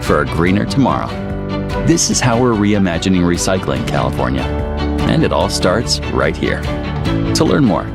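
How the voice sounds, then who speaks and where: A man's voice: deep voice, big pitch swings, moderate pace. A man with an American accent reading a scripted public service announcement for radio. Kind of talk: scripted narration. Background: loud music with guitar.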